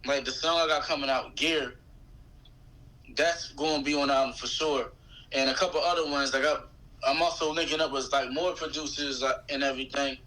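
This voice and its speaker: A man's voice: mid-range voice, fairly even intonation, fairly quick, bright, forceful tone, poor audio. A man from Philadelphia chatting casually and slangily as a podcast guest. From Philadelphia, United States. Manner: slangy, informal. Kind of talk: casual chat.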